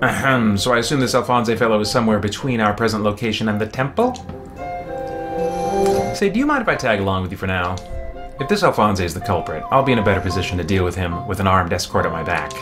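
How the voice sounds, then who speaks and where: A man's voice: on the low side, expressive, quick pace, natural voice. Man voicing a formal, earnest fantasy arbiter, music behind. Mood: polite. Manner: formal, wordy, reading game dialogue aloud. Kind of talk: reading fantasy game dialogue.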